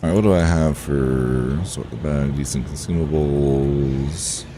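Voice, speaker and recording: A man with a relaxed voice, chatting casually to viewers as he streams a game and thinks aloud. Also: very deep voice, a little slow, slight background noise. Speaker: game livestreamer. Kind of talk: casual livestream chat over gameplay.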